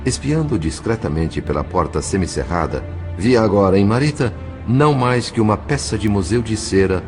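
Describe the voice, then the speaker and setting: A man with a Brazilian accent playing a grave, compassionate narrator in an audio drama, in measured, literary phrasing. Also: on the low side, animated, over music, close to an everyday voice. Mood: grave, concerned.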